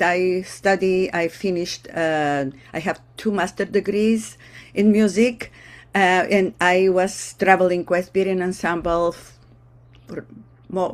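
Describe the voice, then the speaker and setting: A Bulgarian-accented woman past middle age talks in a slightly low voice. Her English comes in halting stretches, full of small pauses and restarts, as she describes her work as a choir director. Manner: halting, searching for words. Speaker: vocal ensemble director. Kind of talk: casual interview talk.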